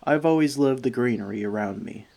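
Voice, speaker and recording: A man playing a friendly forest elf in an ASMR roleplay, talking to the listener with dry, gentle teasing. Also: mid-range voice, a little fast, gravelly, lightly acted. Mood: relaxed, open.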